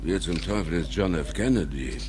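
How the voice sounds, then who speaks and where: A man playing a gruff, contemptuous middle-aged father in an audio drama, grumbling and scornful. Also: sits low, normal speed, a crowd in the background, close to an everyday voice. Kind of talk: audio drama film dialogue.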